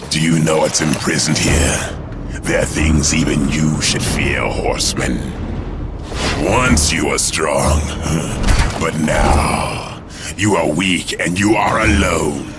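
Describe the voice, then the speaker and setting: A man's voice: a bass voice, steady tone, slow pace. A man voicing a gloating, taunting, menacing demon jailer in a video game cutscene. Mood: gloating menace. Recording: loud music behind the voice.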